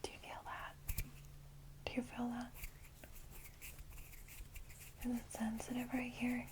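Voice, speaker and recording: Caring vampire guide, played by a woman: female, whispered ASMR, gentle, reassuring, little pitch movement, noticeably noisy close-mic recording. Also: completely flat delivery, moderate pace, close to an everyday voice. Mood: gentle, attentive. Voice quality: soft, breathy whisper. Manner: gentle, hesitant, reassuring, informal.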